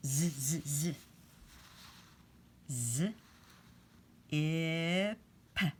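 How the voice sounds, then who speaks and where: A woman teaching young children, reading aloud and sounding out letters and words deliberately. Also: low-pitched, a little mellow, slight background noise. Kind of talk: storybook read-aloud and phonics lesson.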